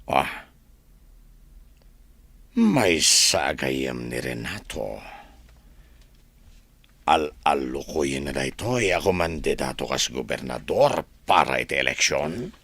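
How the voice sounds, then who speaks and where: Radio drama villain: man as corrupt governor, very low husky voice, slow, menacing and calculating. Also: sing-song, a bit bright, no room sound, exaggerated voice. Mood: indignant, suspicious. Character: scheming, power-hungry corrupt male governor. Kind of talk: Ilocano radio drama acting.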